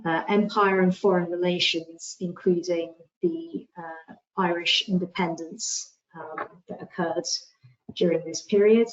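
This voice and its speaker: Female webinar presenter, lowish pitch, informal and hesitant delivery, dull online-call recording. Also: fairly even intonation, a little slow.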